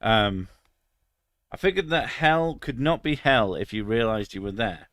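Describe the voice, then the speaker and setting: A man's voice: fairly low pitch, animated, measured pace, a bit bright, no room sound, studio quality. A British man in his thirties chatting casually on a stream, crude and sarcastic, swearing freely. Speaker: streamer reading chat. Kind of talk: casual live stream chat.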